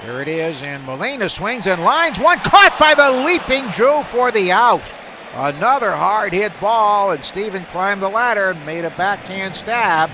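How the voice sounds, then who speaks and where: A man with a fairly high voice and an American accent calls a baseball game live for radio. He is excitable on big plays and conversational between pitches, with plainly audible stadium noise behind him. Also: a little slow. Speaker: radio baseball play-by-play announcer. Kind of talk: live baseball play-by-play commentary. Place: ballpark broadcast booth.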